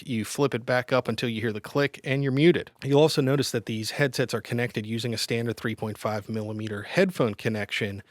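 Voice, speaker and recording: Male gear reviewer, middle-pitched, informal and explanatory, recorded dry. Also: no room sound. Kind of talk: semi-scripted product review narration.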